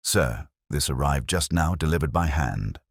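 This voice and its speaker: A brisk, deferential policewoman in a detective audio drama, read by a man. The pitch is very deep and the sound is dry and close. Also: lively intonation, vocal fry, dry recording, full character voice. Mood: urgent. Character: breathless young policewoman delivering urgent news.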